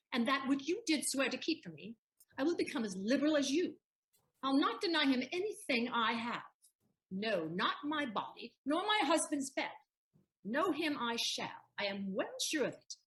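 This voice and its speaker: A woman playing a proud, sharp-witted wife in a Shakespearean audio play, teasingly indignant in declamatory verse. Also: high-pitched, low-quality recording.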